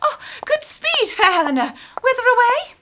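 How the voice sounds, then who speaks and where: A woman's voice: very high voice, big pitch swings, moderate pace, background noise. A woman playing a spirited, devoted young woman in love, with emphatic theatrical delivery in a Shakespearean radio play. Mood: bright, exasperated.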